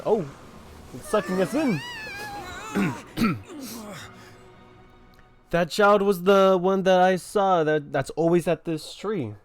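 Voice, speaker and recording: Male gamer reacting casually, very slow drawn-out delivery, exclamatory and slangy, faint music behind. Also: high-pitched. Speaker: gamer reacting while playing. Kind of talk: casual gameplay commentary.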